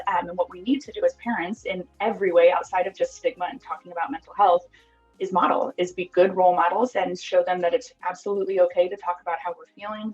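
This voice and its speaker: A woman's voice: fairly low pitch, slightly rough, muffled recording. A woman in her thirties from Costa Rica, talking openly and earnestly as a podcast guest, with many ums and likes. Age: 30s. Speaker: podcast guest, app founder. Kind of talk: reflective interview talk.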